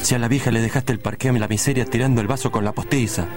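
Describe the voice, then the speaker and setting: An actor playing a nervous, cheeky young son with a Rioplatense Argentine accent in a comic radio play. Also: male voice, medium pitch, steady tone, very fast, lightly acted. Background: music.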